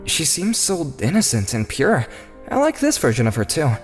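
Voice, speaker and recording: Guy playing nice humble young office worker narrating a manga story, earnest, highish animated voice, music under it. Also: moderate pace. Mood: gentle, reflective. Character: modest, good-natured young male company employee, story's narrator. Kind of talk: manga dub narration and dialogue.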